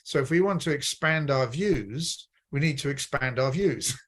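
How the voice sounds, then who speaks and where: A man from the Midlands of Britain talking through ideas on personal development in a measured, explanatory way, restarting phrases as he goes. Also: mid-range voice, lively intonation. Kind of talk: reflective conversation on personal development.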